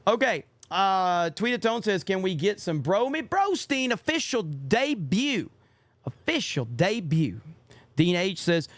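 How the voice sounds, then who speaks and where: A man's voice: on the high side, no echo. A young man hosting a casual livestream, reading out viewer donations and cursing offhandedly. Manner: reads viewer donations aloud, swears casually. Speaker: livestream podcast host.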